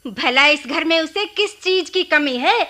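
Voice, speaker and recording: Shrill, scolding mother-in-law. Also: animated, measured pace, bright, forceful tone, heavily acted. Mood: indignant, scornful. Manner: shrill, indignant scolding with exclamations. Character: scolding, shrewish mother-in-law defending her son. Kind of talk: Hindi radio drama acting.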